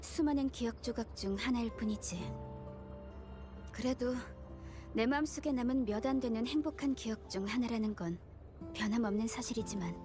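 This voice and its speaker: A woman's voice: fairly high pitch, rapid-fire, lightly acted. A woman voicing a melancholy, guarded young woman in a video game, speaking in a terse, brooding way. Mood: wistful. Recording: game audio with music behind. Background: game music.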